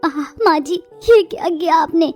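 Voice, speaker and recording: A woman voicing a timid, mistreated young daughter-in-law in an animated drama, speaking meekly and pleading. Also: extremely high pitch, normal speed, soft background music. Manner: meek, pleading, soft-spoken.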